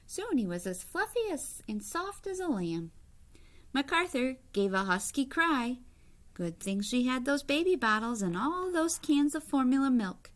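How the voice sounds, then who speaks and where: A woman's voice: sits high, sing-song, a little slow. A woman reading a picture book aloud like a teacher, explaining as she goes and putting simple questions to young listeners.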